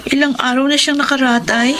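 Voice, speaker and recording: An actress with a Filipino accent playing a remorseful, concerned young friend in a radio drama. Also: female voice, high-pitched, steady tone, brisk, over music, natural voice. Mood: contrite, urgent.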